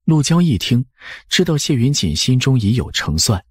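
A man's voice: mid-range voice, sing-song, normal speed, husky, no echo, studio quality. A man reading a scripted novel narration. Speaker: audiobook narrator.

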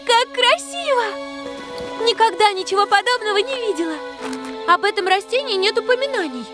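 Casting: curious young girl explorer, tiny high voice, lively swoops, music behind. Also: moderate pace. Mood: awed, curious. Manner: wide-eyed, exclamatory. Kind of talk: animated children's series dubbing.

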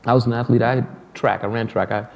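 A middle-aged man from Detroit tells stories on stage, his speech loose, joking and salted with profanity. His tone is mellow and dark. The sound rings with the long reverb of a hall. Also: medium pitch, fairly even intonation, fast, creaky. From Detroit, USA. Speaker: guest musician. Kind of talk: lecture interview on stage. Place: hall with an audience.

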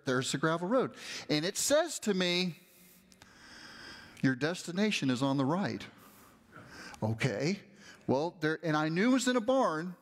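A middle-aged man, a pastor, telling a story in a lively, conversational sermon while moving around a large church stage. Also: high-pitched, in a large hall. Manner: animated, conversational, gestures while walking the stage.

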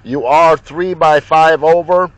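A man from Ohio, a mobile radio operator in his car, talking in crisp radio procedure and spelling out call signs. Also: a little high, fairly even intonation, slow pace, no echo, poor audio. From Ohio, USA. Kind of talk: radio contact exchange. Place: inside a car. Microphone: handheld radio mic.

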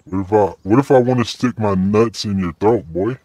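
A man's voice: lowish voice, moderate pace, warm tone, no echo. A man in casual, crude, playful banter while gaming online, full of slang. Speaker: gaming video creator. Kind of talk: casual joking banter during online game. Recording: faint noise, game sounds.

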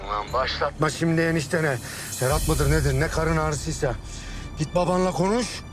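A man's voice: a little high, normal speed, close to an everyday voice. An actor playing an arrogant, menacing middle-aged man making threats over the phone. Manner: threatening, gruff, swearing. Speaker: brother-in-law. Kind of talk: TV drama acting. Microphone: phone call in a car. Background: music.

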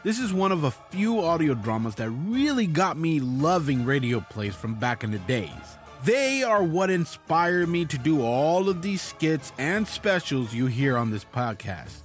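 Animated higher-pitched man hosting a podcast. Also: a little slow, hardly any pauses. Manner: informal, chatty. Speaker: podcast host and producer. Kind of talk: enthusiastic podcast introduction. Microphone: recorded at home, voice-over. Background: music.

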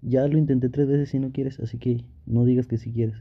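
Man playing teasing young boyfriend, ASMR roleplay, pouty, sweet-talking, breathy-rough voice, slightly muffled recording. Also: medium pitch, little pitch movement, a little fast, close to an everyday voice. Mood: protesting, playful. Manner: playful, pleading, sweet-talking with pet names. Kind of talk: Spanish ASMR boyfriend roleplay, intimate one-sided dialogue.

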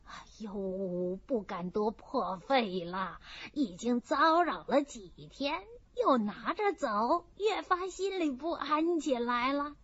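A woman's voice: on the high side, expressive, slow pace, few pauses, a bit bright, husky, no room sound, exaggerated voice. A woman voicing a good-humoured, humble old peasant granny in an audiobook, folksy and full of exclamations. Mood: humbly flustered. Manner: folksy, exclaiming, self-effacing. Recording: dull, muffled audio.

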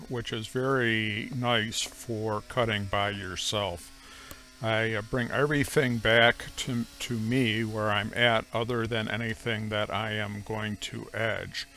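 A middle-aged man narrating how he runs his sawmill, speaking slowly and plainly with frequent pauses. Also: on the low side, gravelly, sharp tone. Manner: slow, measured, plain-spoken with frequent "uh" pauses. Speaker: homestead sawmill operator. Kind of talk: voice-over explaining sawmill operation.

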